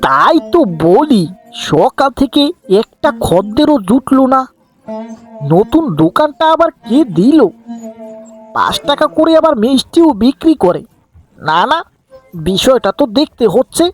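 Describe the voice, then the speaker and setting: A woman's voice: sits high, very animated, measured pace, pauses often, soft background music. A woman voicing a pushy village shopkeeper who resents rivals, in a cartoon fairy tale. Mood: resentful.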